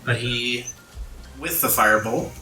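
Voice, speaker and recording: A man runs a tabletop fantasy game as its game master, describing the action as he goes. His voice sounds roomy and set back from the microphone. Music plays clearly underneath. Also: medium pitch, unhurried, sharp tone, distant mic. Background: background music.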